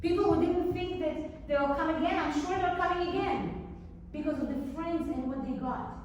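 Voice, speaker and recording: A woman whose first language is Hebrew, giving a motivational keynote speech to a large audience, talking emphatically and rising to excited urging of the crowd. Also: very high-pitched, normal speed, far from the mic, a little hiss or hum. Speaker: keynote speaker. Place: large event hall. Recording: echoey hall, mic from afar.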